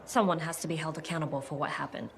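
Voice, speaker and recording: An actress playing a stern, guilt-burdened military commander in a video game, terse and self-blaming. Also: female voice, low voice, fairly even intonation, fast talker, creaky, a little hiss or hum. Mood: troubled, resolute. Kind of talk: video game dramatic acting.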